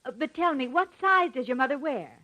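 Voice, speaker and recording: Eager shop saleswoman, high and animated, polite and effusive, vintage radio comedy. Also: fairly quick. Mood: polite, helpful. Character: polite, eager saleswoman and gushing music fan. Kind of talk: 1950s radio comedy acting.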